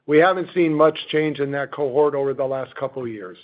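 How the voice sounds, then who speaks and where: A man's voice: mid-range voice, a little fast. A man speaking as a finance executive, answering questions on an earnings call. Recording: thin phone-like conference line.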